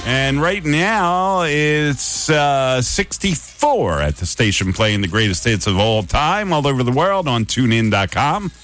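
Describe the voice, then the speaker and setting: Casting: male radio DJ, lively swinging pitch, weather reads over music. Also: unhurried, hardly any pauses. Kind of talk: radio weather and station patter. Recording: music bed behind.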